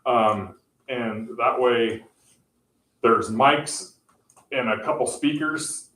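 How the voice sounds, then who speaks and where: Guy at a town council meeting, lots of ums and restarts, roomy echo, mic kinda far off. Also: medium pitch. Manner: halting, many fillers and restarts; says he has a loud voice. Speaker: tech presenter at council meeting. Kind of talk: explaining options to a council. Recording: echoey room, far from mic.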